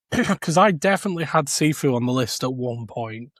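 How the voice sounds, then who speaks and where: A man's voice: medium pitch, expressive, normal speed, dry recording, clean studio sound. A man co-hosting a podcast, talking casually with a fan's enthusiasm. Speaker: podcast co-host. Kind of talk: casual review chat.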